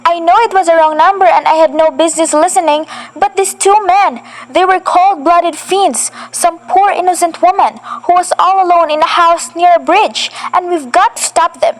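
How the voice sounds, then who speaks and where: A nervous, demanding wife, alone in her house at night, played by a young woman, with a high, fretful voice that keeps pressing and insisting. She frets and scolds in a student radio play. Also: moderate pace, hardly any pauses, rough recording. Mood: frantic.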